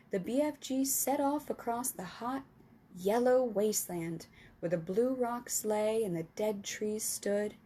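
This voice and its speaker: A woman reading a children's book aloud for a storytime. Her delivery is calm and clear, with a steady, storytelling pace. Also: mid-range voice. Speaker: storytime reader.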